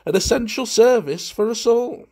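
A man's voice: very high-pitched, animated, moderate pace, a little mellow, pitched above the performer's own voice, full character voice. A man voicing a flamboyant, affected society wit in a storybook, with theatrical, gleeful, lofty prattle. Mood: amused, teasing. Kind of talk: dramatised storybook reading.

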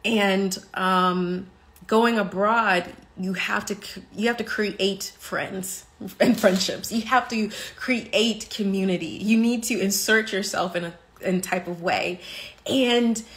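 A woman's voice: medium pitch, slow pace. An American woman in her thirties hosting a casual live stream from her living room, talking animatedly into a phone held close. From United States. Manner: animated, expressive, talks with her hands. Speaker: podcast host on a live stream. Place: bright living room indoors.

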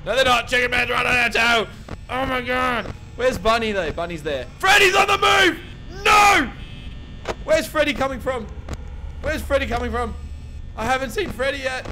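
A young man playing a horror game and recording through a headset at home, muttering to himself and breaking into panicked shouts. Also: extremely high pitch, lively intonation, normal speed, noisy background. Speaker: gaming YouTuber. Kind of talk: horror game let's play. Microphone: over-ear headset.

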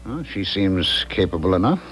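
Male for 1970s radio play: guilty, solicitous husband, very low voice, halting delivery, widely varied intonation. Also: very animated, a bit bright, low-quality recording. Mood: concerned, exasperated. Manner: hesitant, stammering. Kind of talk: 1970s radio drama acting. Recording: 1970s radio broadcast, music behind.

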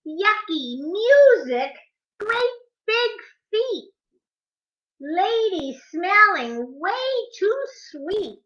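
A woman's voice: extremely high pitch, sing-song, drawn-out words, a bit bright. A middle-aged woman hosting a preschool storytime, speaking and reading aloud in a sing-song, emphatic way. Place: indoors in a home living room. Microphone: webcam or laptop at arm's length. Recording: dull webcam audio, echoey living room.

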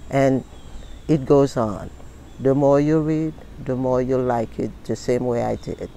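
A woman in her sixties speaking in an earnest, deliberate and sometimes halting way, recorded outdoors. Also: rumbling low, fairly even intonation, mellow, a little hiss or hum. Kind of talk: personal testimonial and reading aloud from a book. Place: outdoors in a leafy garden.

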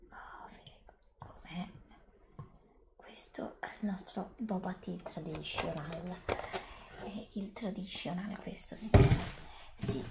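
A middle-aged woman in a whispered ASMR roleplay, speaking as a polite, attentive bar server who softly repeats herself. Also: fairly low pitch, creaky. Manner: polite, attentive shop-server patter, soothing repetition. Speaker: ASMR performer playing a bubble tea bar server.

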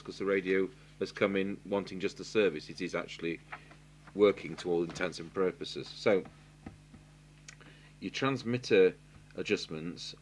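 A middle-aged man with an English accent gives an unscripted hands-on technical tutorial, halting and matter-of-fact as he thinks aloud while working. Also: low-pitched, a bit bright. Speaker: radio repair technician giving a demonstration. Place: indoor electronics workbench. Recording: clear hiss and electrical hum.

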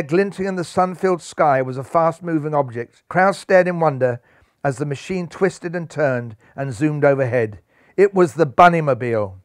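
A man's voice: fairly high pitch, no echo, studio quality. A man, reading a children's story expressively. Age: 60s. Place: small furnished room, seated in armchair indoors.

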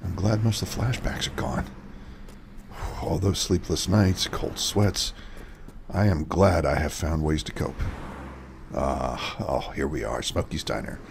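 A man playing a gentle, anxious recovering addict in an audio horror drama, halting and earnest. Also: low-pitched, vocal fry, a little hiss or hum. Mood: earnest, weary.

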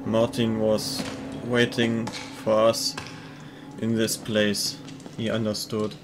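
A man's voice: on the low side, completely flat delivery, slow, noisy background. A man voicing an inmate giving ominous warnings for an amateur horror game mod.

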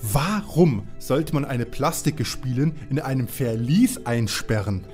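A man playing a jittery, reluctant young detective sidekick in a parody audio drama, anxious and excitable. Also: mid-range voice, unhurried, faint music behind, lightly acted. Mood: bewildered.

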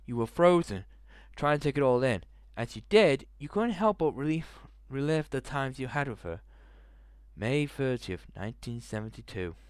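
A young man with a headset mic in a small bedroom, reading a visual novel aloud in a hushed voice and breaking into loud reactions. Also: mid-range voice, lively intonation, normal speed, smooth, no room sound. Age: young adult. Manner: reads narration in a hushed tone, reacts with loud outbursts. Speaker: gaming video host.

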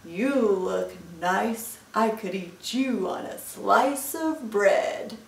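A woman's voice: mid-range voice, drawn-out words, vocal fry, roomy sound, distant mic. A woman voicing a big, hungry, gullible monster in a storybook, heavy and menacing in manner. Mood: menacing, mocking.

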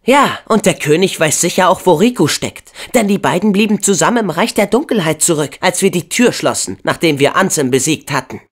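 A young man voicing an upbeat, brave and earnest teenage boy hero in a video game, with an expressive, youthful delivery. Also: high-pitched, a little fast, few pauses. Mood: curious, earnest.

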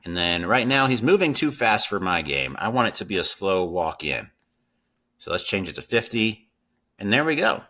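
Middle-aged man, tutorial host, conversational delivery with a low, crisp-edged bright voice. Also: big pitch swings, normal speed. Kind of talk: casual screen-share coding tutorial.

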